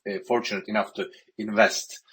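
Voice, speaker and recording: A man from Turkey, moderating an online panel with halting speech full of filler sounds. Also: fairly low pitch, level tone, fairly bright tone. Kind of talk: online audio panel chat.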